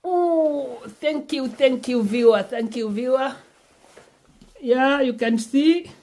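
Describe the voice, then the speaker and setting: A woman's voice: sits high, slow pace, mellow. A woman from Kenya, around her sixties, chatting to a phone camera at home, pleading and repeating her words. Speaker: vlogger. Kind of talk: casual vlog chat to camera. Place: small home, indoors. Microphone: phone camera held at arm's length.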